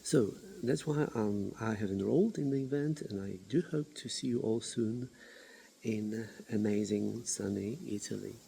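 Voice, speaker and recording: A man gives a video self-introduction as a university coordinator, speaking with lively, swooping pitch and halting pauses. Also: gravelly. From Poland. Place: remote village.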